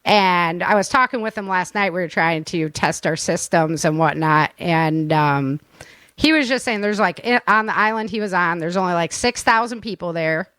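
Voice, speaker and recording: Low-voiced woman, casual podcast host. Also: moderate pace, smooth, no echo. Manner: animated, informal, laughs often. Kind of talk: casual chat.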